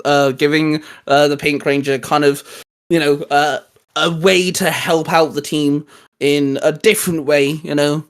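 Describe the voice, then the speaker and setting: Guy hosting a nerdy chat, voice on the higher side, kinda rambly, repeats himself a bit. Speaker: host. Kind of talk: casual fan discussion chat.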